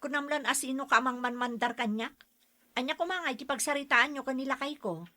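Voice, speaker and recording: A woman's voice: high voice, brisk, sharp tone. A woman with an Ilocano accent playing an unforgiving, reproachful family friend in an audio drama. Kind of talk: Ilocano radio-style drama acting.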